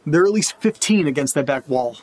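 A man acting as an uneasy, curious character alone at night in a creepy house, in a halting audio drama monologue, muttering to himself with a husky voice. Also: a little high, lively intonation, a little mellow. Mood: troubled, puzzled.

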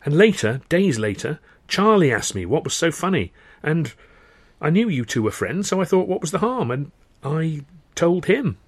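A man's voice: fairly high pitch, sing-song, moderate pace, husky, voice pushed up. A man reading an audiobook voices a genial, guileless young comedian friend with an English accent. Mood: hesitant, dawning guilt.

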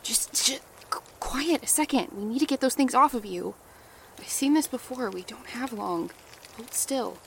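An actress playing a wounded wife who has lost her memory, hesitant and halting and often hushed, in a horror audio drama. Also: fairly high pitch, vocal fry, a little hiss or hum. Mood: urgent. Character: wounded amnesiac wife, wary and urgent. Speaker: character.

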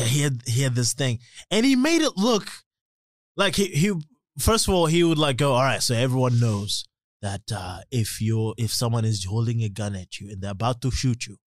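A man's voice: mid-range voice, animated, normal speed, no room sound, studio quality. A man co-hosting a podcast in casual chat, rambling, swearing and laughing often. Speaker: podcast co-host. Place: podcast studio.

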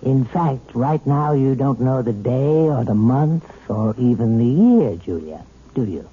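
An actor playing a calm male hypnotist who talks in a soft, measured, persuasive way, in a 1970s radio drama. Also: mid-range voice, slightly rough. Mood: coaxing. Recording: tinny old radio broadcast with music.

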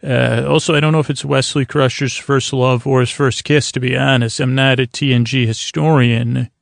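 A man hosting a bedtime podcast, rambling in a slow, languid, gentle and reassuring way with long, meandering pauses. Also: mid-range voice, fairly even intonation, creaky, dry recording, clean studio sound. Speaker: podcast host.

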